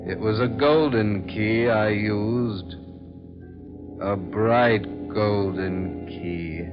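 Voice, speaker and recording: An actor playing a mysterious, icily polite gentleman in 1940s radio horror, measured, formal and quietly menacing. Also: lowish voice. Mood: brooding, reflective. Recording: muffled 1940s radio recording.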